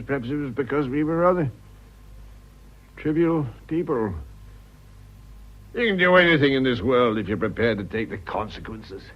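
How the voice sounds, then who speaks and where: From an old, muffled radio drama comes a worldly-wise English lord. He speaks Received Pronunciation with an animated melody and a measured, dry, philosophical manner. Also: medium pitch. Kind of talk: vintage British radio drama acting.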